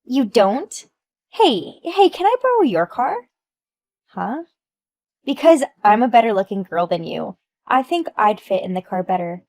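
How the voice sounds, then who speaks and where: A woman's voice: medium pitch, animated, moderate pace, darkish tone, no room sound, lightly acted. A woman voicing Amelia, a vain and jealous woman who speaks in a catty, mocking and pushy way. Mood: catty envy.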